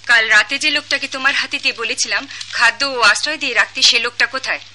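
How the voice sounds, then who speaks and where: A woman's voice: on the high side, quick pace, sharp tone. A woman with a Bangladeshi accent playing a poised, commanding young princess in a radio drama. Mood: imperious. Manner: poised, imperious with servants.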